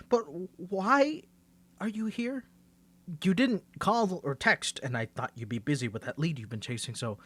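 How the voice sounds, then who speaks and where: Guy acting as a nervous secret superhero best friend, stammery and rambly, kinda high voice, really dry close sound, asmr roleplay. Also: fairly bright tone, no echo. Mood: exasperated, playful. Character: flustered young man hiding his secret superhero identity from best friend. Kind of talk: ASMR roleplay audio drama.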